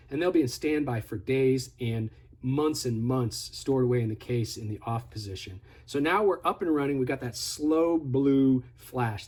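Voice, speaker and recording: Slightly husky man demonstrating a product. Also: medium pitch, a little mellow. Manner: step-by-step, instructive, conversational. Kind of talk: casual product tutorial, hands-on demonstration.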